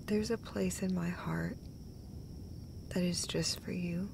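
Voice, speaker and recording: A woman playing a tender, emotional, self-deprecating girlfriend in an intimate romantic audio roleplay, speaking in halting, breathy phrases. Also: lowish voice, fairly even intonation, background noise. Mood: tender, earnest. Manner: halting, breathy phrases broken by small laughs.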